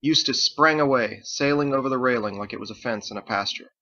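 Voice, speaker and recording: A man's voice: medium pitch, a little fast, sharp tone, lo-fi sound. A man reading his story aloud as dramatic scripted audiobook narration. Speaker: author reading own book.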